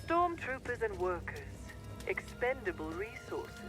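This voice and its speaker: An actress playing a taunting imperial villain who hunts heroes, with a deliberate, mocking delivery. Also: female voice, a little high, very animated. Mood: cold, scornful. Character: menacing, taunting female imperial villain hunting Jedi. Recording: loud music behind the voice.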